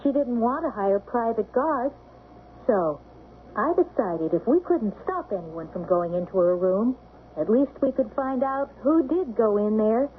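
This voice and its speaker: A woman's voice: on the high side, animated, a little slow. A woman playing a sheriff's keen, observant young daughter with an American accent, earnest and articulate, in radio drama style. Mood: assured. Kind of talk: radio drama acting with narration. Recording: muffled, noisy old radio broadcast.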